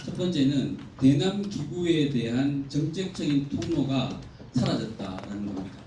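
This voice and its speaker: A man giving a formal, measured academic presentation from slides at a conference, explaining point by point. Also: medium pitch, lively intonation. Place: indoor conference hall. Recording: echoey hall, distant mic, faint noise.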